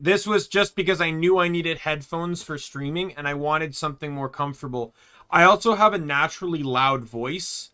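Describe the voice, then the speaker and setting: A man's voice: on the high side, sharp tone. A man streaming a game from his room, chatting casually and rambling, often restarting his sentences. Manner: rambling, self-correcting. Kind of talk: casual gameplay chat. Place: home room.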